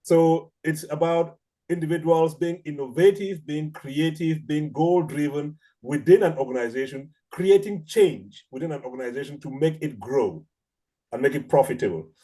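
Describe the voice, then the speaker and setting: A middle-aged man with a Cameroonian accent giving an academic seminar lecture in a measured, deliberate, explanatory manner. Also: fairly high pitch, mellow. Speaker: academic researcher, seminar speaker.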